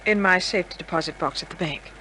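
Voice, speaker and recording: A woman's voice: on the low side, quick pace, pushed and bright. A woman playing an anxious, guarded young heiress in an old-time radio crime drama. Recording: noisy old radio recording, vehicle sound.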